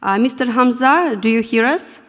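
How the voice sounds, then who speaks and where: A woman moderating a conference panel in a measured, formal manner, often pausing with fillers. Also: on the high side, expressive. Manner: measured, with frequent hesitations. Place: conference hall. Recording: echoey conference hall.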